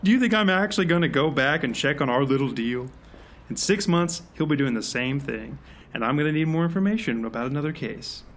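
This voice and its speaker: An actor playing a stern, cynical field agent in command, speaking curtly in an audio drama. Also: male voice, fairly high pitch, lively intonation, a little fast, a bit bright, a little hiss or hum. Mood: irritated, cynical. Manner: curt, commanding.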